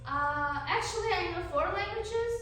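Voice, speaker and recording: High-voiced uzbek-born teen girl doing a school talk, slow and careful, sounds far off and roomy. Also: lively intonation, bright, forceful tone. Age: teens. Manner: slow, careful, with pauses and fillers. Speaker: exchange student presenter. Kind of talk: school class presentation. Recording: roomy, distant, faint noise.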